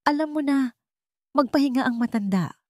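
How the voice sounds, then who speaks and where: A woman's voice: high voice, moderate pace, dry recording, clean studio sound, pitched above the performer's own voice, lightly acted. A woman voicing a scheming husband who speaks tenderly and coaxingly to his mistress in an audiobook drama.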